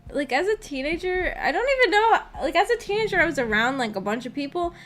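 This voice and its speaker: A woman's voice: very high-pitched, big pitch swings, quick pace, a bit bright. A young adult woman chatting casually on a livestream, thinking aloud in a hesitant, rambling, slightly giggly way. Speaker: streamer reading and answering live chat. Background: background music.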